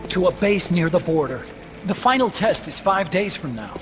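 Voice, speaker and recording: Earnest, higher-voiced scientist. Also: male voice, lively intonation, normal speed. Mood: earnest, explanatory. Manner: earnest, explanatory, slightly awkward. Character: earnest, bookish male scientist, conscience-stricken and awkward. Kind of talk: video game cutscene acting. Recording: music under the voice.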